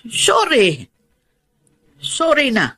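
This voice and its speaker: A woman's voice: high voice, huge pitch swings, very slow, a bit bright, husky, exaggerated voice. A woman playing a resentful, self-pitying middle-aged aunt in a Filipino radio drama, nagging and melodramatic. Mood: defensive, aggrieved. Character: resentful, self-pitying older aunt who raised her nephew.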